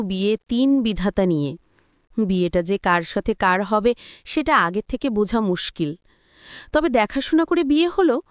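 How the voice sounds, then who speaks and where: A woman's voice: medium pitch, no echo, studio quality. A woman storyteller reading a dramatised audio story as scripted narration.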